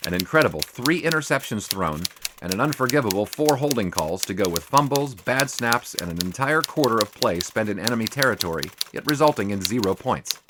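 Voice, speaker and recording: An actor playing a young 1920s college sportswriter who narrates in ornate prose and banters teasingly. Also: male voice, lowish voice, animated, brisk, noisy background, close to an everyday voice. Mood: narrating. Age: young adult. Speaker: student sports writer. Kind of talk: 1920s radio drama. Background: music.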